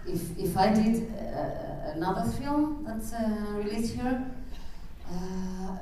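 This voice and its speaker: A young actress speaking at a press conference, hesitant and broken in phrasing. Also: female voice, medium pitch, slow. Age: young adult. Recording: echoey hall, distant mic, crowd and noise.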